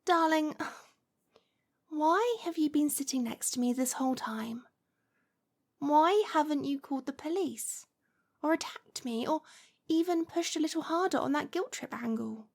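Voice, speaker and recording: Woman acting a high-voiced, lovesick yandere witch. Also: animated, measured pace, long pauses, a little mellow, full character voice. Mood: sweetly menacing. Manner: breathy whispers mixed with giggles, sighs and cooing. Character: lovesick possessive yandere witch, sweetly menacing, coaxing. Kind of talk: ASMR roleplay audio drama.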